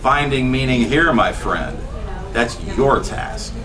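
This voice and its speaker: Lively man reading poems in a bookstore. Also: mid-range voice, slow, heavy background noise. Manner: animated, gesturing, laughs between lines. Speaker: poet. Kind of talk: poetry reading with chatty asides. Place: small bookstore room, indoors. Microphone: far camera, no mic. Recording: voice from a distance.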